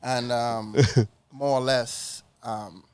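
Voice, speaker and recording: A man's voice: mid-range voice, fairly even intonation, drawn-out words, smooth, no room sound. Man telling his story casually. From Trinidad and Tobago. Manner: conversational, short phrases, often checks with "you know". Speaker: podcast guest, financial educator. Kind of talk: casual personal storytelling in an interview.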